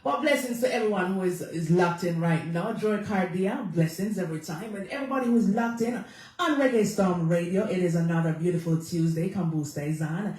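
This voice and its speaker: Radio host, high and bright, chatty and laughing, heard from a distance in a very echoey room. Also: male voice, fairly quick. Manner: chatty, laughs often, repeats guest's answers back. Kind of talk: relaxed radio interview.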